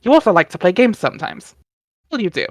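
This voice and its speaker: Livestream host for a dramatic reading, medium pitch, informal, recorded close and dry. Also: sing-song, fast, no echo. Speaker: stream host and reader. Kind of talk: dramatic reading mixed with casual livestream chat.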